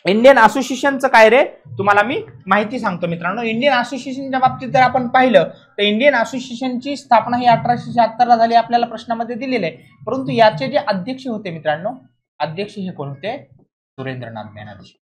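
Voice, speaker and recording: A man's voice: extremely high pitch, a little fast. Male exam coach who keeps checking in. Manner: repeats key points, frequent check-in questions to students. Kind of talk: classroom lecture explaining exam questions.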